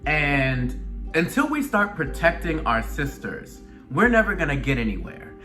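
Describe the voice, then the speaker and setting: A man's voice: a little high, very animated, a little slow, bright, forceful tone, faint music behind. A man from Atlanta with a Southern US accent speaks earnestly and emphatically to his viewers in a personal commentary, repeating phrases to drive them home. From Atlanta, Georgia, United States. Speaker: video blogger, show host.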